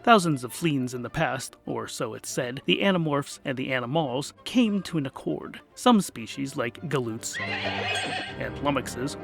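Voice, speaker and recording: A man's voice: mid-range voice, gravelly, a bit bright. A man narrating a scripted comic fantasy story, with music behind him. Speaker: audiobook narrator. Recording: music under the voice.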